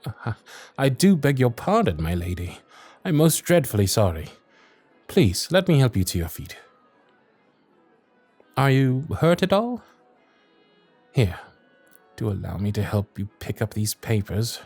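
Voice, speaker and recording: An actor playing a wry, honourable young Victorian lord, charming and a little vain, speaking formal, courtly in a romantic audio roleplay. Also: male voice, medium pitch, sing-song, measured pace, long pauses, a little mellow, husky, no echo, soft background music, heavily acted. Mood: flustered, apologetic. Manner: formal, courtly, old-fashioned diction.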